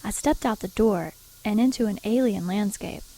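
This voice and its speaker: An actress playing an earnest, proper nun who narrates strange events in an audio drama. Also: female voice, medium pitch, dark tone, natural voice, low-quality recording. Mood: grave narration. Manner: measured storytelling, primly earnest.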